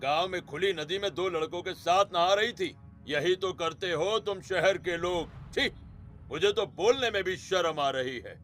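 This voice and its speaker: A man acts an indignant villager in an animated story. He sounds accusing and full of disapproval, in a fairly high male voice with music underneath. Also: normal speed. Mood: disgusted, accusing. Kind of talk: scripted Hindi animated story dialogue.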